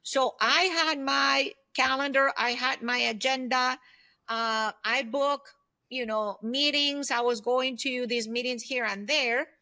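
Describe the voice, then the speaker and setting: Bright, high-voiced woman in her fifties. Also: fairly even intonation, slow pace. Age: 50s. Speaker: guest, solopreneur consultant and former aerospace executive. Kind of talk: conversational interview, sharing personal experience and advice.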